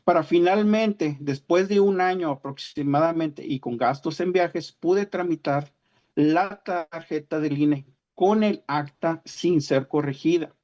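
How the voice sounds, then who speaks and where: A man's voice: a little high, measured pace, darkish tone. A man from Sonora with a northern Mexican accent, reading a formal prepared statement as a citizen at a hearing over a video call, sometimes stumbling over words. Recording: muffled lo-fi video-call audio.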